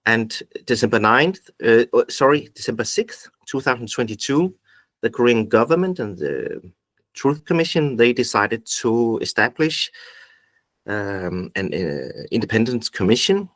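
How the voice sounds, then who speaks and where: A man with a Danish accent giving an informal presentation on a video call in a halting, pause-filled way. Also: mid-range voice, expressive, fairly bright tone, no room sound. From Denmark. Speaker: invited speaker, activist group founder. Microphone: video call microphone.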